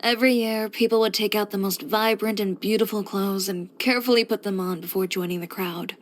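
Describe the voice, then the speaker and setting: A woman acting as a self-assured young boutique tailor from a video game. She is blunt and headstrong yet composed, speaking in a mid-pitched voice with a matter-of-fact, dryly confident delivery. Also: fairly even intonation, a little fast, natural voice. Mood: nostalgic. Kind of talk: video game character voice lines.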